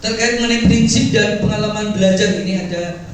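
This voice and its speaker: A man's voice: sits high, fairly even intonation, fairly quick. A man moderating a stage talk show, upbeat and often calling for applause. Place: large hall with audience. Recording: echoey hall, distant mic, crowd noise.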